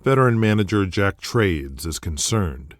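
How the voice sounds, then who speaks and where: A man's voice: deep voice, lively intonation, a little slow, no room sound, studio quality. A man narrating a scripted audio drama.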